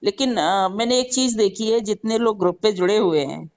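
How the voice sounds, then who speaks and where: A woman's voice: medium pitch, moderate pace, darkish tone. A woman on a phone call, a customer who speaks up for other customers. She is earnest and polite. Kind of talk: phone conversation.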